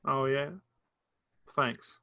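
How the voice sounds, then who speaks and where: A man's voice: mid-range voice, flat delivery, extremely slow, vocal fry, sharp tone, clear, resonant tone, full character voice. A man reading the part of Dan, a weary, guarded farmer who talks in short plain replies with a Kentucky accent, in a staged play reading. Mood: low, tired. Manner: laconic, plain rural talk.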